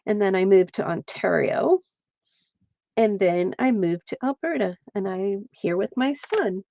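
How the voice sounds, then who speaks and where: A woman's voice: mid-range voice, animated, unhurried, creaky, warm tone, lo-fi sound, slight background noise. A middle-aged woman from Pennsylvania, chatting casually with viewers while she crafts on a livestream. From central Pennsylvania.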